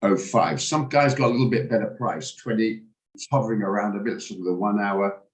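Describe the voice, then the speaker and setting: A man, hoarse from a cold, hosting a live trading room and talking through charts with hesitant, stammering restarts. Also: lowish voice, brisk. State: hoarse, recovering from flu. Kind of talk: live market commentary on a video call.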